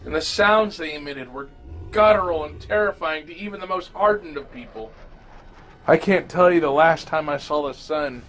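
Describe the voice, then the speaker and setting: A man reading a scripted first-person horror story as an audio drama narrator, grave and dramatic with deliberate phrasing. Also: on the high side, a bit bright, a little hiss or hum, rough recording. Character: male survivor recounting a zombie fungus apocalypse. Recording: amateur narration over music. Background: music.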